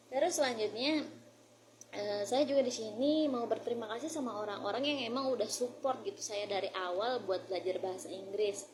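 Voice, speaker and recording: A woman's voice: mid-range voice, slight background noise, rough recording. A woman from Indonesia speaks in a mid-range voice with an Indonesian accent. She chats informally as a vlogger, slipping between Indonesian and English phrases as she shares learning tips. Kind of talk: casual vlog chat.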